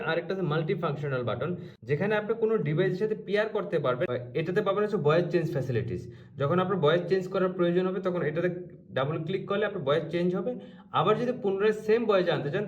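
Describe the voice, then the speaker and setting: A man's voice: high-pitched, distant mic, muffled recording. A man presenting a promotional product review.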